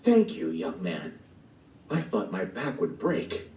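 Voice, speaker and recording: A man voicing a gentle, mysterious old woman who gives solemn advice in a storybook play, recorded in a roomy space. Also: deep voice, wildly swooping pitch, slow pace, exaggerated voice. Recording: roomy, from far off the mic.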